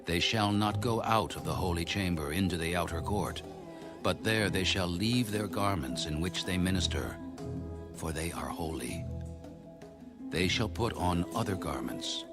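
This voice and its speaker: A very low, solemn heavenly messenger, acted by a man for an audio Bible. He pronounces sacred rules gravely and with measure. Loud music runs beneath him. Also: a little slow. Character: solemn angelic man guiding a prophet through temple. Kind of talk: dramatized audio Bible reading.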